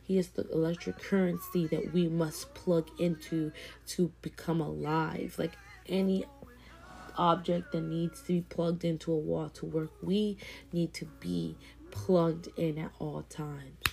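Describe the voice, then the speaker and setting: Low, gravelly young woman talking earnestly. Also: steady tone, measured pace, warm tone. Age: 20s. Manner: earnest and encouraging, repeats phrases for emphasis. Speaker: vlogger. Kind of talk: casual motivational talk to camera.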